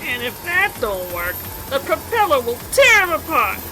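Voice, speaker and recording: An actor voicing a villain's excitable, cartoonish henchman in a theatrical comic-book radio drama, with loud noise behind. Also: sits high, moderate pace. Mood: excited.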